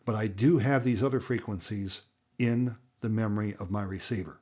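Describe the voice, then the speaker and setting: A man's voice: lowish voice, normal speed, mellow, lo-fi sound. A man giving a plain-spoken, emphatic tutorial as an instructor, explaining things step by step. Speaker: amateur radio instructor and presenter.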